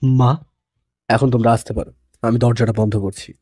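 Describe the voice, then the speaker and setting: A man acts a young husband in a romantic audio drama. His voice is mellow and dry, with a playful, teasing manner and a protective streak. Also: mid-range voice, level tone, normal speed, dry recording, lightly acted. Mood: calm, firm. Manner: playful, teasing, sprinkles English words. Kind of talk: dramatized audio story dialogue.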